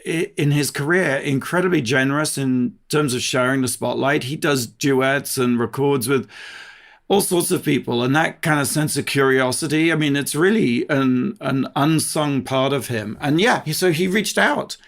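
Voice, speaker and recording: A man's voice: mid-range voice, normal speed, fairly bright tone. A man talking casually as a guest in an interview. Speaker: podcast guest, TV production company co-founder.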